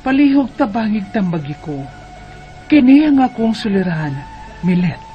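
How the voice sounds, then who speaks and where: A woman's voice: mid-range voice, sing-song, normal speed, natural voice. A woman acting as an aggrieved Cebuano Filipino grandmother in a radio drama. She pleads and questions insistently, her voice swinging up and down. The sound is dull and lo-fi, with music underneath. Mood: pleading. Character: aggrieved, pleading mother and grandmother seeking advice. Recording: dull lo-fi broadcast with background music.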